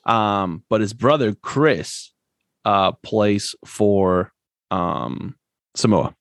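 A man co-hosting a casual sports podcast, relaxed and chatty, laughing often and filling pauses with "um". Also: lowish voice, gravelly, no echo, clean studio sound. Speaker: podcast co-host.

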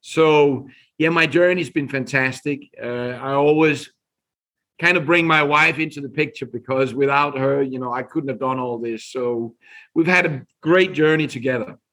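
A man in his fifties from Denmark with a Danish accent, speaking as a podcast guest in a measured way that is full of restarts and repeated words. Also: medium pitch, sharp tone, poor audio. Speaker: guest, company executive. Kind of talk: conversational podcast interview.

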